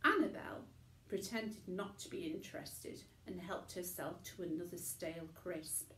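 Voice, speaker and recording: Woman doing bedtime story narration, really unhurried, bit of a roomy echo. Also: lowish voice, fairly bright tone, slight background noise. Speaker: storyteller reading a children's book aloud. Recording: echoey room.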